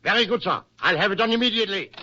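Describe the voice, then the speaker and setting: High, sharp-voiced dutiful soldier. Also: male voice, very fast, exaggerated voice. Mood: urgent. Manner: clipped, obedient. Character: dutiful German soldier relaying urgent orders. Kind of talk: 1940s radio acting. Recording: muffled, noisy old radio recording.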